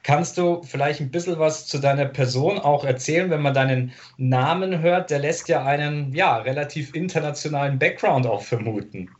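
A man leads a sports interview with long, rambling questions, often stumbling as he looks for the right word. His voice sits a little high for a man. The recording is dull and lo-fi. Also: moderate pace, hardly any pauses. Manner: chatty, searching for words. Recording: dull lo-fi sound.

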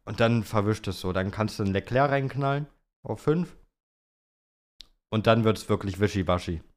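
Young male podcast host, low voice, casual and slangy chat. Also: moderate pace. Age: young adult. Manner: informal youth slang. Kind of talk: casual chat about motorsport, answering listener questions.

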